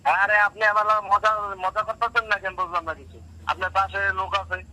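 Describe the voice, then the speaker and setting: A man with a Bangladeshi Bengali accent in a casual phone conversation, speaking colloquially and haltingly and often repeating himself. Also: high-pitched, flat delivery, bright, forceful tone. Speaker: prank call recipient. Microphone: telephone. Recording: tinny phone call with faint noise.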